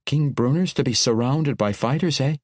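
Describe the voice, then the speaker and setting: A man's voice: mid-range voice, moderate pace, a little mellow, dry recording, clean studio sound, pitched above the performer's own voice, lightly acted. A man voicing a blunt young dwarf lass. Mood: probing. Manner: rough dwarven dialect, dropped g's. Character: young female dwarf warrior, blunt and probing.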